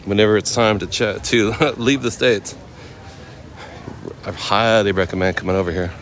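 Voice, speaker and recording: A man from Oakland in his thirties, casually chatting with viewers while walking through a temple courtyard outdoors, easygoing and quick to laugh. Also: on the low side, fairly even intonation. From Oakland, California. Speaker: vlogger answering live chat. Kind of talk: casual live-stream walk and chat.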